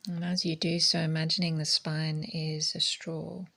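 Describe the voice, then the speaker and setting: Low, creaky-voiced woman guiding meditation. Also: flat delivery, normal speed, bright, forceful tone. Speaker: yoga and meditation teacher. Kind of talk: guided meditation, soft spoken instruction.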